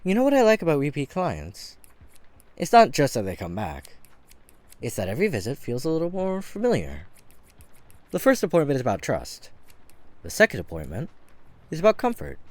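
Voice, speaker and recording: A man's voice: on the high side, no echo. Man acting a flirty catboy hairdresser, swooping intonation. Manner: gentle, bashful, earnest, chuckling at himself. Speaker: voice actor. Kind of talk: flirty ASMR-style roleplay audio drama. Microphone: close studio mic.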